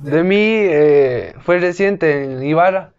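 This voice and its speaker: A man's voice: a little high, slow pace, a little mellow, highs cut off. A young man, telling a race story in an eager, rambling way. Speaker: guest rider. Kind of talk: casual chat.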